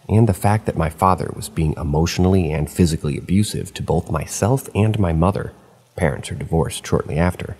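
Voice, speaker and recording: A man reading first-person stories aloud at a steady pace, slipping in dry asides of his own. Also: low voice, breathy edge, in a large hall. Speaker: narrator of online horror stories.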